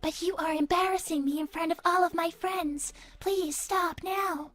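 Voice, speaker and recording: A woman's voice: very high-pitched, steady tone, normal speed, a bit bright. A woman voicing a polite, childlike AI hologram daughter, earnest and pleading, with stilted, careful delivery in a livestream role-play. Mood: upset, reproachful. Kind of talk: in-character livestream chat.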